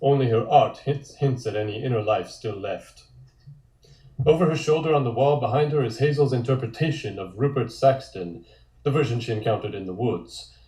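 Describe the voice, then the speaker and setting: Mellow male narrator in an echoey room. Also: medium pitch, normal speed. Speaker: narrator reading stage directions. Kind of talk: scripted narration at a table read.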